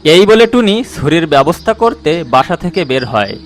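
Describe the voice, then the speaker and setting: A man narrating a scripted animated moral story for children. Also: a little high, moderate pace, faint music behind.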